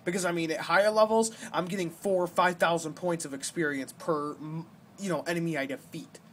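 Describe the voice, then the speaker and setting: Young male gaming host, slightly high voice, rambling explanatory delivery, noisy amateur home recording. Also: fairly even intonation, brisk, a bit bright. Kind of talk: casual gameplay commentary.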